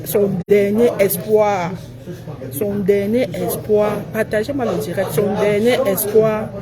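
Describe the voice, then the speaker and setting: A woman's voice: medium pitch, poor audio. A woman giving a self-assured, emphatic live-stream monologue, repeating phrases to drive them home.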